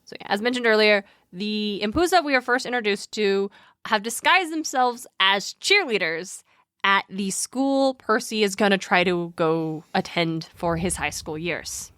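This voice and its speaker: A woman hosts a casual podcast in a mid-pitched voice, recorded dry and close. She is chatty and informal, with a wry edge as she banters and retells. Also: measured pace, a bit bright, dry recording. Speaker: podcast host.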